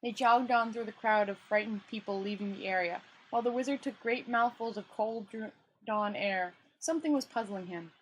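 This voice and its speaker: A woman's voice: medium pitch, normal speed, slight background noise, poor audio. A woman reading a novel aloud in an informal amateur way, stumbling over words and adding casual asides.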